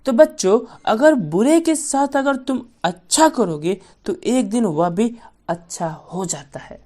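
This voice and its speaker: A woman storyteller tells a folk tale to children. Her voice is a bit higher than usual. She narrates at a very unhurried pace, in the style of a bedtime story. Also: lively intonation, dark tone.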